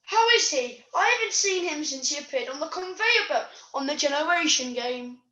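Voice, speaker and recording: A woman's voice: high-pitched, animated, normal speed, pushed and bright. A woman voicing an emotional, starstruck toy puppet in a theatrical, gushing way, in an online puppet show. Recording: echoey room over video call.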